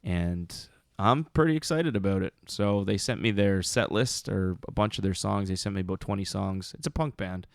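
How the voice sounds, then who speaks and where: A man's voice: low voice, normal speed, vocal fry, dry recording, studio quality. A man hosting a podcast in casual chat, with a mousy voice that is still recovering from a show, rambling along with lots of "uh" fillers and the odd swear. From Canada. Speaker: podcast host.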